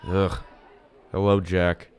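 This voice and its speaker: A man playing a calm, self-assured teenage hero in an audio drama, measured and dry. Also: low-pitched, some chatter behind. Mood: irritated, dry.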